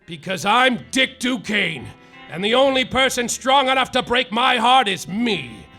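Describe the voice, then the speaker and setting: A man's voice: very high voice, big pitch swings, unhurried, sharp tone, faint music behind, exaggerated voice. A man playing a self-absorbed hardboiled male private detective, narrating in a rambling, boastful, melodramatic way on a live comedy stage. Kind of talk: live comedy radio-play acting.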